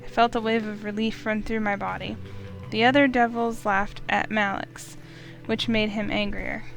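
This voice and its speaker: A woman reading a visual novel aloud in first person, steady and expressive, with small slips. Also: mid-range voice, moderate pace, gravelly, soft background music. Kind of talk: first-person story narration.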